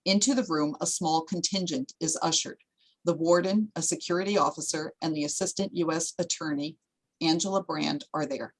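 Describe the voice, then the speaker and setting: Low-voiced woman narrating a screenplay. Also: moderate pace, breathy edge, rough recording. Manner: plain, descriptive reading. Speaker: stage-direction narrator.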